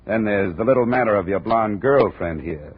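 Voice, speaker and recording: An actor playing a wry, hard-boiled insurance investigator in a 1950 radio detective drama, deadpan and wisecracking. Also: male voice, on the low side, moderate pace, slightly rough. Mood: cool, firm. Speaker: narrator and lead actor. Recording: tinny, noisy old radio broadcast.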